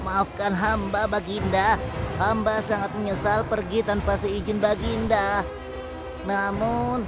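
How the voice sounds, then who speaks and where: A woman's voice: medium pitch, lightly acted. A woman voicing a small, devout and curious messenger bird, earnest and deferential, in a children's cartoon. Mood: apologetic, respectful. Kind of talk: children's animated religious story acting. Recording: loud music behind the voice.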